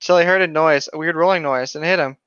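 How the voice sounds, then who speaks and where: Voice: medium pitch, fast talker, fairly bright tone, smooth, no echo. A podcast co-host reading a story aloud and breaking into casual, sweary, mocking banter, on a dull lo-fi microphone.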